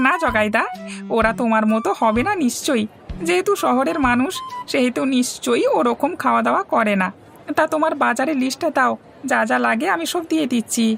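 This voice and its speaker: Woman voicing a high, creaky cartoon village grocer man. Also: expressive, normal speed, heavily acted. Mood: chatty, teasing. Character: chatty, teasing male village grocer. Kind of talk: cartoon dialogue. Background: loud instrumental music.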